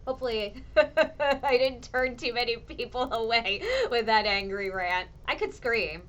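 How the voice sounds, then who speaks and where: A woman meteorologist with a slightly hoarse voice, chatting casually with viewers on her weather show. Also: a little high, a little slow, highs cut off. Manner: animated, ranting, chatty with viewers. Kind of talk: casual livestream chat answering viewers.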